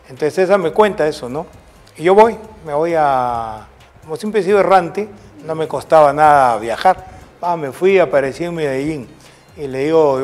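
An older man with a Peruvian Spanish accent, a former football coach telling rambling anecdotes in an interview, often ending with a questioning "right?". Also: a little high. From Peru. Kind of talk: storytelling interview.

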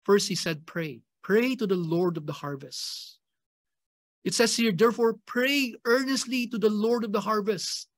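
A man's voice: high voice, a little slow, fairly bright tone, no echo. Filipino man preaching in a dry room. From Philippines. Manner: earnest, emphatic repetition of key phrases. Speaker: pastor. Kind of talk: chapel sermon. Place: indoor chapel at a lectern. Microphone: stand mic in front of the mouth.

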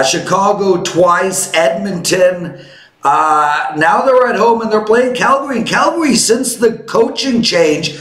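Joking fifty-year-old man in an echoey room. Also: high voice. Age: 50s. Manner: joking, teasing, animated. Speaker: host. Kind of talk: casual sports betting chat. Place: home studio. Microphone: headphones and boom mic.

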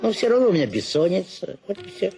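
A meekly solemn, self-pitying fake tiger tamer in a radio drama. His fairly high voice moves haltingly with big swings of pitch. Music is clearly heard behind it. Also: very animated, dark tone, full character voice. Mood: solemn, confessing. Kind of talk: Russian radio play acting.

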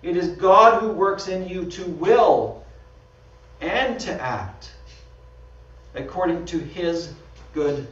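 Canadian pastor preaching in a roomy hall. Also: male voice, sits high, lively intonation, slow pace, long pauses, fairly bright tone. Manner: conversational, self-deprecating, laughs often. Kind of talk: sermon with slides. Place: small church hall. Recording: dull, roomy sound from far off.

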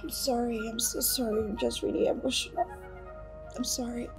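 A woman's voice: high voice, expressive, measured pace, music in the background. A woman with a Nigerian accent acting as an overfamiliar, meddling best friend in a screen drama. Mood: apologetic. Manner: chatty, reminiscing. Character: meddling, overfamiliar young female best friend, smug and self-pitying.

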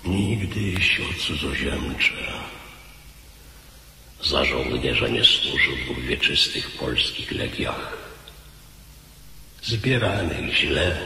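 A proud, grave old-world commander in a radio drama. He declaims solemnly in a low, forceful voice, taking his time, in an echoing hall. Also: gravelly, exaggerated voice. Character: proud, grave Polish commander, sorrowful and loyal. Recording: echoey hall effect with faint noise.

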